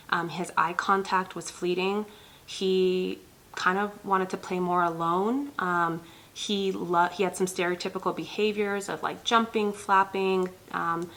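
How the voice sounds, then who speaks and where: Female host, 30s, from Ethiopia; earnest direct-to-camera talk, low-ish bright tone with some fry. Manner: earnest, many ums, speaks directly to viewers. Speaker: pediatric nurse practitioner and channel host.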